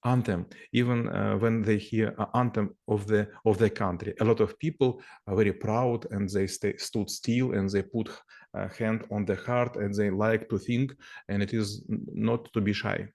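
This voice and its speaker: A man's voice: fairly low pitch, steady tone, moderate pace, gravelly, a little mellow. A middle-aged man, answering interview questions in English. He often halts to search for words, restarting phrases and filling gaps with ums. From former Soviet Union. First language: Russian. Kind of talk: casual conversation in a second language.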